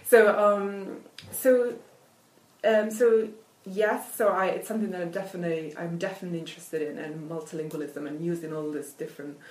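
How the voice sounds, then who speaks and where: A woman with a lowish voice whose first language is Italian, musing aloud about literature. She talks thoughtfully, with lots of fillers and restarts. She sounds a little far from the mic, in an echoey room. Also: fairly even intonation, normal speed. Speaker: literature academic. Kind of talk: conversational interview.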